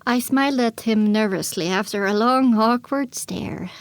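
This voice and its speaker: A woman playing a young pony mare who tells her own story in earnest, measured audiobook narration. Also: a little high, no echo. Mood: attentive, measured. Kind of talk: scripted first-person audiobook narration.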